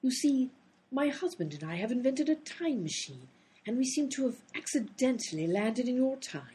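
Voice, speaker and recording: A woman's voice: on the high side, very animated, moderate pace, dark tone, slight background noise. A woman playing an exasperated, sarcastic time traveler in a comedy radio play. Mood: explaining.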